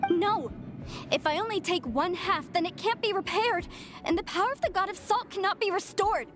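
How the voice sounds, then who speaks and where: A zealous young follower of a fallen goddess speaks in a high, earnest voice. She sounds impassioned and devoted. Game music plays underneath her. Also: moderate pace, fairly bright tone. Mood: defiant.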